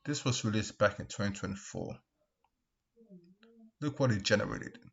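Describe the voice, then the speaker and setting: A man giving casual opinion commentary as a video host, speaking in an emphatic, repetitive way with halting restarts. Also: lowish voice, vocal fry, a bit bright, breathy edge. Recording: dull, lo-fi microphone sound.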